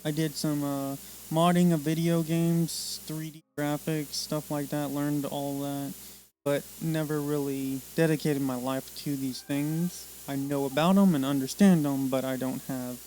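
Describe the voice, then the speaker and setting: An American man from the Missouri, Oklahoma and Arkansas region talking casually and unscripted about himself, rambling haltingly in short fragments. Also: a little high, fairly even intonation, dark tone, a little hiss or hum, rough recording. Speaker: presenter introducing himself.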